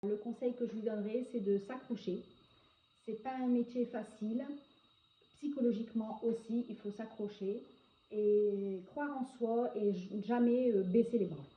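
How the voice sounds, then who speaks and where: A woman in her forties from northern France, a hairdresser answering interview questions in a hesitant way, often pausing on filler sounds. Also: fairly high pitch, fairly even intonation. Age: 40s. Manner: hesitant, frequent filler pauses. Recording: echoey, dull lo-fi sound with faint noise.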